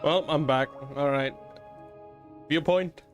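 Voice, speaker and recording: A man's voice: fairly high pitch, takes it slowly. A man from Malaysia with a Malaysian accent, casually commenting on a game stream and muttering as he plays. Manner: laid-back, mutters while playing. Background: game music.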